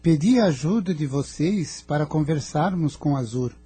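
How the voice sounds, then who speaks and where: An actor playing a noble, calm spiritual guide in an audio drama, speaking formally and in a measured, solemn way. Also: male voice, on the high side, slightly rough, dry recording, soft background music.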